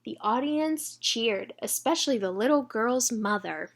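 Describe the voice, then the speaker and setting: A woman's voice: fairly high pitch, animated, a little slow. A woman reading a children's story aloud expressively, engaging young listeners as she goes. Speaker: children's read-aloud reader.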